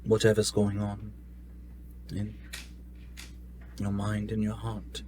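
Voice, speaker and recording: A man role-playing at a tabletop game, speaking in a measured, gentle way. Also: lowish voice, monotone. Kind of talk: in-character roleplay and table talk. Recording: noisy room ambience.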